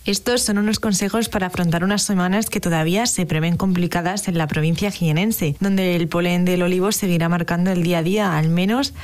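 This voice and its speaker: A woman working as a field reporter, delivering scripted news copy in a crisp way. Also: on the low side, fairly even intonation, fairly quick, few pauses.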